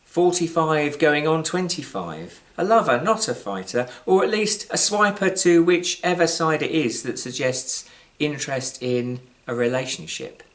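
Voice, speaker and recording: A British man reads his own short story aloud in a measured, wry way, with deliberate pauses and varied emphasis. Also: fairly high pitch, expressive, fairly bright tone. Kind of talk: scripted literary storytelling, live reading.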